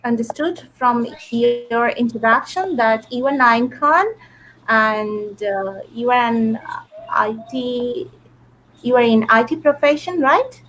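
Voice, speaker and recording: A woman with a high, light voice leads a live English lesson over a video call. She explains patiently and step by step, with a faint hum of room sound behind her. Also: slow pace, fairly bright tone, low-quality recording. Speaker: online English teacher. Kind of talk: live online class.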